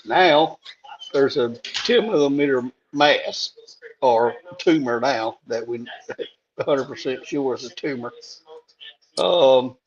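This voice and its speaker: Hoarse man on dull call audio. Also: mid-range voice, takes it slowly, pauses often. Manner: laid-back, plain-spoken, laughs things off. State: hoarse after a throat tube. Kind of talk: casual chat on a live stream.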